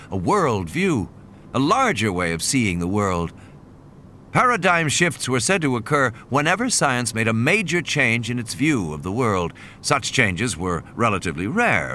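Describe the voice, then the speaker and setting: A man's voice: medium pitch, bright, forceful tone, a little hiss or hum. A man reading a novel as dramatic scripted narration, with background sound behind him. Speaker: audiobook narrator. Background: ambient sound effects.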